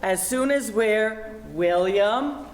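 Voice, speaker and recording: Woman as domineering, scolding radio-comedy mother; haughty, measured, very expressive, roomy sound. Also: mid-range voice. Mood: indignant. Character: indignant, domineering middle-aged mother and wife. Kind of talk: old-time radio comedy acting. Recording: echoey room with background noise.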